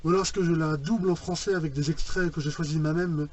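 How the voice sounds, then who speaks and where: Man, slightly high mellow voice, casual reaction commentary, informal thinking aloud, roomy echo. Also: fairly even intonation, fast. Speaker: reaction video host. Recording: roomy, echoey sound.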